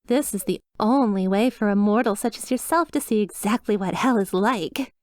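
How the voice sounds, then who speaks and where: A woman's voice: mid-range voice, moderate pace, dry recording, studio quality, lightly acted. A woman playing a cheerful demon receptionist in an ASMR roleplay, with polished, reassuring customer-service politeness and a slyly sinister undertone. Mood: bright, reassuring. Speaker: hotel receptionist character.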